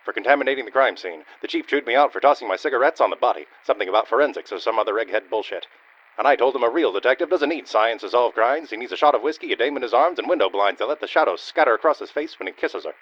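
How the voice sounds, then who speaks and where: Male actor as cynical noir detective, rapid delivery, wordy bluster, comic radio play, dull lo-fi sound. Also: medium pitch, lively intonation, fairly bright tone, breathy edge. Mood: gruff, cocky. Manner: blustering, wordy, tough-guy slang. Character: cynical, boneheaded hardboiled male private detective. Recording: dull radio-style sound with faint music.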